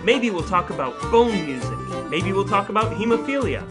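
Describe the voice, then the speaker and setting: A man's voice: high-pitched, very animated, moderate pace, over music. An American man co-hosting a podcast with wry, mock-formal, wordy phrasing, moving between scripted reading and chat. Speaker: podcast co-host.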